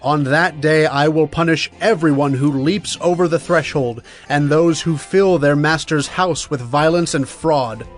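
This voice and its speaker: An actor playing a stern, urgent Hebrew prophet of doom in a solemn, emphatic dramatized scripture reading. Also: male voice, a little high, close to an everyday voice. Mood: stern warning. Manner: solemn, emphatic, deliberate oratory. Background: music.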